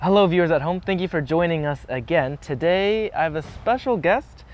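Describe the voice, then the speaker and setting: A man's voice: fairly high pitch, expressive, normal speed, soft background music. A man hosting an interview in a friendly, informal presenting style. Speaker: interviewer, segment host.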